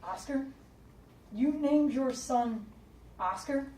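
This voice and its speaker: High-voiced, snappy single mom fed up with everything, woman acting it, echoey room, kinda far from the mic. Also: slow pace, warm tone. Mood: scornful. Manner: blunt, swearing, sarcastic. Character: frazzled brash single mom, short-tempered. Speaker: single mother waiting in a store line.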